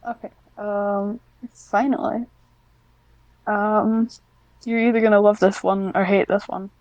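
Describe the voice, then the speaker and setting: A woman's voice: medium pitch, level tone, a little slow, a little mellow, no room sound, muffled recording. A woman chatting casually on a podcast as the interviewer, informal and a little hesitant. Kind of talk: casual chat.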